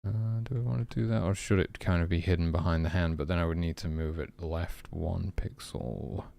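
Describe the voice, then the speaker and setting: A man's voice: low-pitched, fairly even intonation, normal speed, creaky, warm tone, no echo. A man talking casually and hesitantly as he thinks aloud while working, like an indie developer on a stream. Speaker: indie game developer streaming his work.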